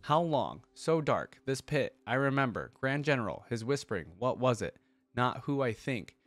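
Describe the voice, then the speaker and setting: A man's voice: medium pitch, big pitch swings, moderate pace, dry recording, natural voice. A man voicing a brutal, bloodthirsty undead warrior in terse, grim declarations, as a dramatic story reading. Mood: confused, fading.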